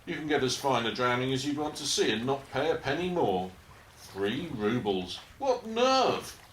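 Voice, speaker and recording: A man playing a deadpan dockside policeman. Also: medium pitch, moderate pace, reverberant, slight background noise, low-quality recording. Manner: deadpan, bargain-minded, folksy. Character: bored, matter-of-fact male dockside police officer. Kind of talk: amateur radio play acting.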